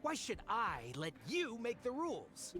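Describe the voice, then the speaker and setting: A greedy, arrogant expedition patron in a game. He sounds indignant and haughty, his fairly high voice leaping all over. Faint game music sits behind him. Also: wildly swooping pitch, measured pace, bright, forceful tone, exaggerated voice.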